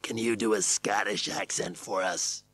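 Man putting on a low, husky producer. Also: fairly even intonation, a little slow, a bit bright. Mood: polite, businesslike. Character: American male Hollywood film producer, casually polite.

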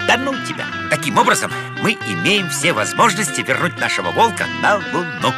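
A man's voice: fairly high pitch, wildly swooping pitch, a little fast. A man voicing a grumpy, stubborn know-it-all bear in a cartoon, blustering and whining as he stammers complaints. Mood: bossy, excited. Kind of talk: animated cartoon voice acting. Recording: music behind the voice.

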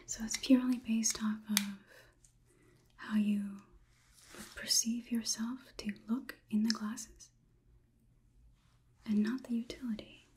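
A young woman playing a polite, curious, clinical robot overlord in a whispered ASMR roleplay, with measured, formal delivery. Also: on the high side, little pitch movement, a little mellow, a little hiss or hum. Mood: curious, deliberate. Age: young adult. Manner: slow, measured, deliberate, formal wording. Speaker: ASMR performer.

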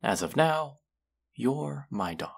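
A man's voice: medium pitch, very animated, takes it slowly, vocal fry, husky, exaggerated voice. A young man acting a condescending, smug college student in a scripted audio roleplay, haughty and sardonic with a drawl. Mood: mocking, bossy. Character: condescending, smug young male college rich-club hopeful. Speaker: voice actor.

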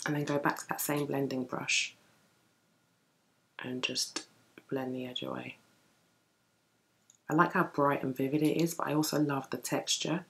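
A young woman with a deep early-morning voice, chatting through a makeup tutorial at home while she works on her eyes. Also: level tone, unhurried, vocal fry, pauses often, a little hiss or hum, poor audio. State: just woken, deep morning voice. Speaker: beauty vlogger. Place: home room with bookshelves, indoors.

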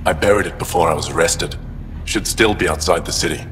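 A man's voice: low voice, a little fast, fairly bright tone, slight background noise. An actor playing a bitter, defiant male alien traitor held prisoner in a video game, weary and resentful. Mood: resigned. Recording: echoing cell, music behind. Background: game soundtrack music.

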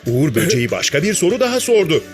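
An expressive narrator reads a children's tale. The voice sits in a middle register with lively, varied intonation. Music plays softly behind it. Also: medium pitch, fast talker.

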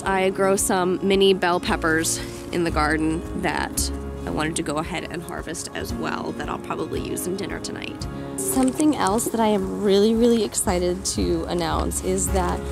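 A woman's voice: on the low side, gravelly. Enthusiastic woman on a garden tour. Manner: enthusiastic, gushing about plants. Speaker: homesteading vlogger. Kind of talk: casual garden tour vlog. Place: outdoor garden.

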